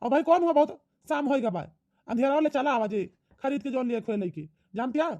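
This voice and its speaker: Man playing a high-pitched, protesting cartoon husband. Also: a little fast, darkish tone, highs cut off. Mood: placating. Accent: Awadhi. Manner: protesting, excuse-making. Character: defensive, proud young husband in a village cartoon. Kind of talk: rustic cartoon comedy dialogue.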